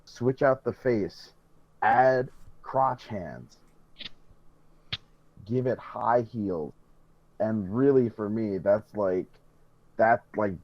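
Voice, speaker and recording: Low-voiced man, dull dry recording. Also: darkish tone, a little hiss or hum. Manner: emphatic, profane, rambling enthusiasm. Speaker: podcast co-host. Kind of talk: casual enthusiast podcast chat.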